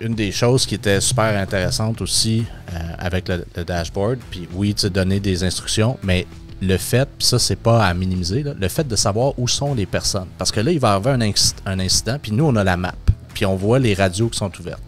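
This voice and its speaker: Low-voiced Québécois man chatting. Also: a little fast, soft background music. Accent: Québécois French. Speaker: podcast co-host, crowd management. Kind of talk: casual chat.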